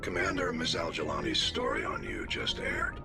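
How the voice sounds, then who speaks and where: An actor playing a gruff, supportive senior fleet commander in a video game. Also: male voice, extremely low pitch, animated, moderate pace, music in the background, exaggerated voice. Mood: approving, brisk. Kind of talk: video game dialogue acting.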